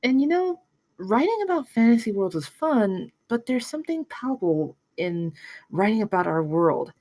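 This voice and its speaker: A woman's voice: on the high side, very animated, moderate pace, mellow. A woman reading the part of a frank, earnest writer at a screenplay table read. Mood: enthusiastic. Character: frank, earnest female writer colleague named Peggy.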